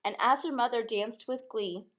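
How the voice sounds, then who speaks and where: High-voiced woman reading a storybook. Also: moderate pace, fairly bright tone. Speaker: teacher reading a picture book aloud. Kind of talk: expressive rhyming storybook read-aloud.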